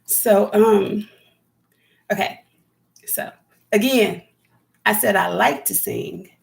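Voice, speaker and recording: A woman with a raspy voice chatting casually on a live stream as a homesteading vlogger, rambling and talking to viewers by name. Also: medium pitch, slow pace. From California.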